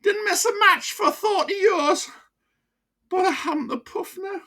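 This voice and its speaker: A woman's voice: extremely high pitch, unhurried, pushed and bright, voice pushed up, full character voice. A woman voicing a breathless old male ex-miner from Sunderland with a broad local accent and blunt gallows humour. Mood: rueful wheezy. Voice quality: breathless, broad dialect, cackling. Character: old wheezing Sunderland ex-miner man, grimly jokey.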